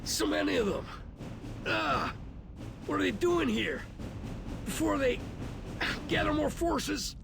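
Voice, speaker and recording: Casting: terrified scout, extremely high, very creaky voice, alarmed, music behind. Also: male voice, expressive, takes it slowly, pauses often, heavily acted. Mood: panicked. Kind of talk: video game cutscene acting.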